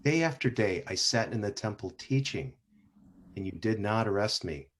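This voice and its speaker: A man reading the part of Jesus in a church scripture drama, in a measured, solemn way. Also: lowish voice, expressive. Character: Jesus, calm solemn grown man on trial.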